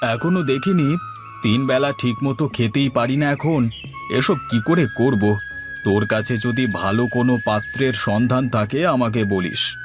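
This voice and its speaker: A man's voice: medium pitch, unhurried, lightly acted. Worried middle-aged village father played by a man, music behind. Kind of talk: cartoon moral-story dialogue.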